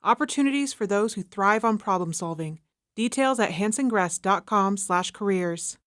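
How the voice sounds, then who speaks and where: A woman's voice: medium pitch, lively intonation, moderate pace, fairly bright tone, no room sound. Woman reading a sponsor spot, very dry. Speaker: underwriting announcer. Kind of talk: scripted sponsor announcement.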